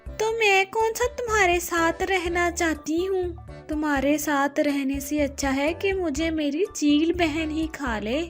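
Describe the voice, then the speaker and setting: A woman voicing a sassy, defiant female crow wife with a sharp-tongued manner in a children's cartoon. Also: very high-pitched, measured pace, faint music behind, voice pushed up. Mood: scornful, defiant.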